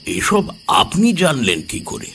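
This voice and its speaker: A man playing a troubled, courteous middle-aged gentleman in an audio horror story, speaking hesitantly. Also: medium pitch, huge pitch swings, takes it slowly, creaky, long reverb tail, slight background noise, exaggerated voice. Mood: hesitant, polite. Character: troubled gentleman householder, father, polite and uneasy. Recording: music bed behind the voice.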